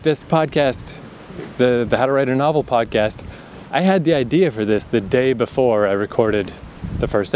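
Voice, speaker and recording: A man's voice: medium pitch, a little mellow, a little hiss or hum. Casual man with slight Atlantic Canadian accent. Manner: candid, swears freely, laughs often. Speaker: podcast host. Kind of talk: rambling casual monologue. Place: outdoors in a breezy urban area.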